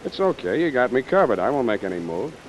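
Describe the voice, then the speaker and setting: An actor playing a cool, sardonic private detective with dry, clipped wisecracks, in 1940s radio noir style. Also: male voice, mid-range voice, sing-song, fast, lo-fi sound, slight background noise, close to an everyday voice. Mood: nonchalant.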